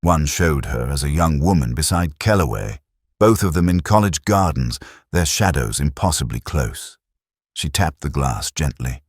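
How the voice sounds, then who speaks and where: A man narrating a literary detective story in the first person, in a measured, reflective and solemn manner. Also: extremely low pitch, lively intonation, breathy edge, no echo, clean studio sound.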